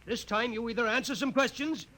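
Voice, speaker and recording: Blustering police sergeant, high-pitched and forceful, vintage radio drama. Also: male voice, fairly quick. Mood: gruffly impatient. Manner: barking, tough-guy slang. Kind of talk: 1950s radio acting.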